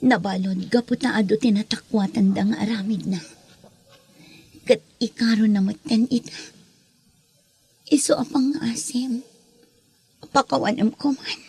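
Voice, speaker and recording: A woman's voice: a little high, measured pace, vocal fry, pauses often, mellow, husky, full character voice. A woman playing a sorrowful, pleading mother in an Ilocano radio drama, with an Ilocano Filipino accent and earnest delivery.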